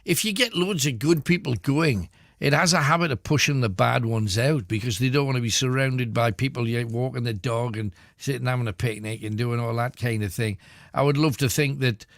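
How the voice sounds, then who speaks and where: A man hosting a late-night radio phone-in, chatting warmly with callers between songs. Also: medium pitch, fairly quick, no echo, studio quality. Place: radio studio.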